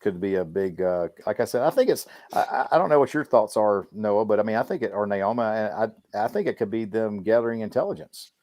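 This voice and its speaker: A man's voice: on the low side, animated, fairly quick, few pauses, a little mellow, dry recording. A man chatting informally on a livestreamed panel podcast, with some mild swearing. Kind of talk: casual group chat on a livestream.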